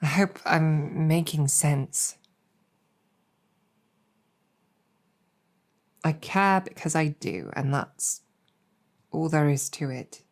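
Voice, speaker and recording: A woman voicing an earnest, protective succubus demon general in an intimate audio roleplay, speaking deliberately with halting pauses. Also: sits low. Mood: sincere. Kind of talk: intimate improvised roleplay storytelling, ASMR-adjacent.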